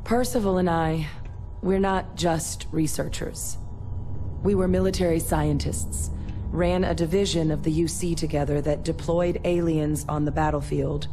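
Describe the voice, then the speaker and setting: An actress playing an earnest former military scientist in a sci-fi video game, speaking in a measured, explanatory way. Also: female voice, sits low, steady tone, music in the background. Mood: sober, confiding. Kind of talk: video game dialogue acting.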